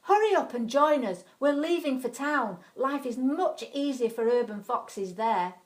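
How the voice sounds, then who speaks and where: A woman voicing a commanding head fox in a children's story, calling out loudly to the pack. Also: sits high, animated, unhurried, pitched above the performer's own voice. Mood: urgent, commanding. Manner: loud, commanding.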